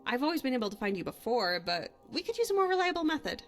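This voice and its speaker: A woman's voice: high voice, sing-song, fast talker. An actress voicing a young woman adventurer and hunter, a guilt-haunted but bold companion in a fantasy game, speaking in a natural, conversational way. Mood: casual, coaxing. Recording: music under the voice.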